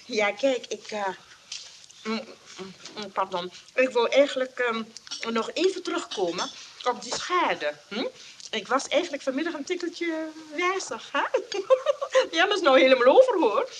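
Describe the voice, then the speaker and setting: An actress playing a chatty, excitable, easily scandalized miss in a Dutch radio play, gushing and fussy, full of exclamations. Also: female voice, high-pitched, measured pace, background noise.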